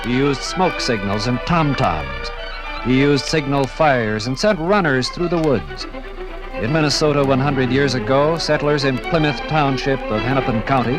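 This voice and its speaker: A man narrating a 1950s radio documentary from a script in formal, dramatic, measured oratory. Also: medium pitch, rough recording. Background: music.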